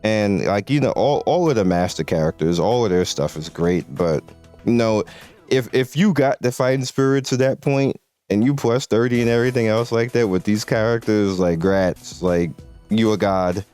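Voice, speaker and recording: A man's voice: fairly low pitch, normal speed, darkish tone, clear, resonant tone, faint music behind. A man losing his voice, giving laid-back, slangy game commentary with lots of restarts. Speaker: mobile game content creator.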